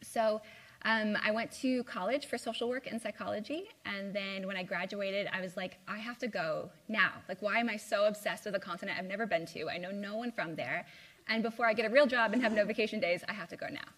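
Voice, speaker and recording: A woman's voice: mid-range voice, brisk, fairly bright tone. Chatty young woman from the Midwest. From Midwest US (Indiana). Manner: chatty, lots of "like" and "um". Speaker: guest speaker. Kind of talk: informal live talk with slides. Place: university lecture room.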